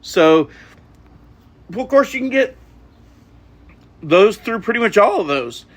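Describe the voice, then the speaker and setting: A man's voice: high-pitched, very animated, slow. A man hosting a podcast, reading announcements casually with ums and goofy asides. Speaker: podcast host.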